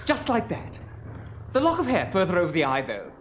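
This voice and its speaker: A cheeky flirty press photographer. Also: male voice, high-pitched, huge pitch swings, fairly quick, a bit bright, full character voice. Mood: teasing. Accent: English. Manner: breezy, teasing, theatrical exclamations. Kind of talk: 1970s radio drama. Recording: hissy old radio broadcast.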